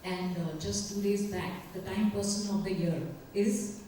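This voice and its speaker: A woman's voice: medium pitch, steady tone, measured pace, mellow. A woman on a conference panel speaking directly and encouragingly to a young audience member. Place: large conference hall. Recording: echoey conference hall from far off.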